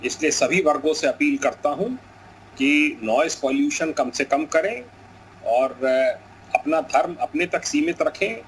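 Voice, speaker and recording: A man speaking as a guest on live news, giving his view as a measured appeal. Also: fairly high pitch, a little hiss or hum, poor audio. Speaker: guest commentator.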